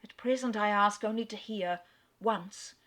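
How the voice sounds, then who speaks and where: A woman reading the lines of an earnest gentleman who halts, then declares his love with solemn urgency. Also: mid-range voice, measured pace, lightly acted. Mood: tender.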